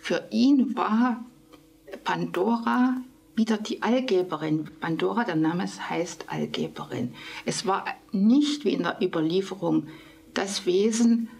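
Slow, husky-voiced woman explaining. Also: mid-range voice. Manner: hesitant, searching for words, soft asides. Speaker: literary scholar interviewee. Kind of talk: thoughtful spoken explanation.

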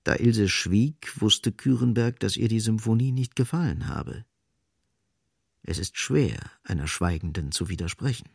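Deep, husky male narrator. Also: expressive, no room sound, clean studio sound. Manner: measured, deliberate, emphatic repetition. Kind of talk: literary radio drama narration.

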